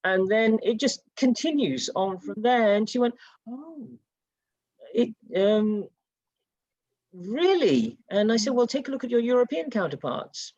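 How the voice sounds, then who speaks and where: Panelist with a dull, dry sound. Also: male voice, high-pitched, sing-song, measured pace, a little mellow, dry recording. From United Kingdom. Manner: storytelling, acts out quoted exchanges. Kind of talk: panel discussion, personal anecdote.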